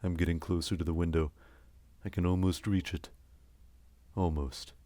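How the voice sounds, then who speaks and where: Guy doing a hardboiled detective narrator, really deep, broody and dry, noir audio drama thing. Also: little pitch movement, moderate pace, mellow, no room sound, close to an everyday voice. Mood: wry, grim. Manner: terse, wry, brooding. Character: hard-boiled, world-weary male detective narrating his own nightmare.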